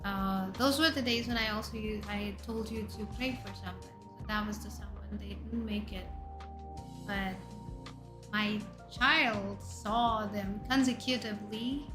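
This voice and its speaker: Woman, 30s, Indian accent, VTuber host; unhurried, bright and chatty, soft music bed. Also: medium pitch. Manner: chatty, jumps between questions to chat, loud exclamations. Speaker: VTuber streamer. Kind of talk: casual chat reading viewer messages. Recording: faint background music under voice.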